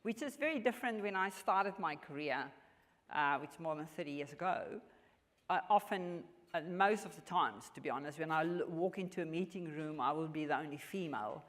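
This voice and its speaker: A woman's voice: low voice, lively intonation. Earnest South African woman in a hall. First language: Afrikaans. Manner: earnest, measured, with frequent restarts. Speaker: mining executive guest. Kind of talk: on-stage interview answers. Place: large function hall. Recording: long hall reverb.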